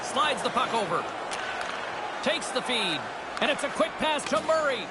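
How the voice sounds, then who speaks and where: A man calling hockey play-by-play in excited, clipped phrases, as a game commentator. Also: extremely high pitch, unhurried, very noisy, exaggerated voice.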